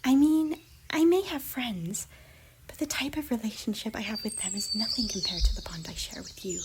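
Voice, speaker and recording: A woman voicing an affectionate, bubbly young cartoon pony in an ASMR roleplay, with a squeaky, breathy voice and a theatrical, tender manner. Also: moderate pace, lightly acted. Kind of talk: ASMR fan fiction roleplay. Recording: noisy, birdsong and ambience behind.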